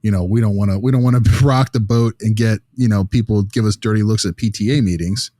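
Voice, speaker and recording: A man with a low voice talks through his opinions on a podcast in a casual, blunt way. The sound is dry and close, with very little room to it. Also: quick pace, dark tone, no room sound. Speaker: podcast co-host and clinical discussant.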